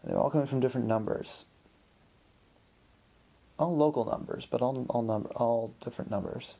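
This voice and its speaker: A man's voice: medium pitch, a little fast, vocal fry, warm tone. A man improvising a story in a casual, rambling, conversational way, as the host of a story podcast. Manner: rambling, conversational, acts out characters' remarks in an exasperated way.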